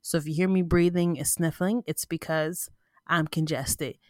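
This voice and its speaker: A woman's voice: low voice, fairly even intonation, moderate pace, darkish tone, no room sound, clean studio sound. A woman hosting her own podcast, talking casually and informally with a stuffy, congested voice, often restarting and checking in with "right?". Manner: rambling, informal, frequent "right?" asides and self-restarts. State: congested, sniffling. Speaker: podcast host. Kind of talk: casual solo podcast talk. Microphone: close podcast mic.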